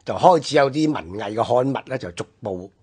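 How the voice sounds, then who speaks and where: A man's voice: medium pitch, lively intonation, brisk, a little mellow, slightly rough. A man with a Hong Kong Cantonese accent explaining things conversationally, as a commentator on a radio history programme.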